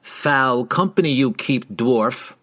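Fantasy audiobook rider, acted by a male narrator: pompous, sneering town guard, formal old-fashioned wording, animated pitch. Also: a little slow, lightly acted. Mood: scornful. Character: haughty, hostile male town rider, leader of patrol.

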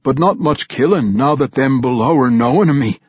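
A man voicing a tormented, loyal vampire dwarf warrior with a thick brogue, gruff and halting. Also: mid-range voice, expressive, no room sound, lo-fi sound, voice pushed up.

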